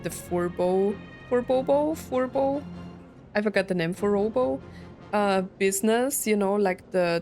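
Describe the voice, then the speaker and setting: Chatty young woman with game music behind. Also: mid-range voice. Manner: chatty, thinking aloud, reads dialogue out. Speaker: game streamer. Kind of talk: casual let's play commentary.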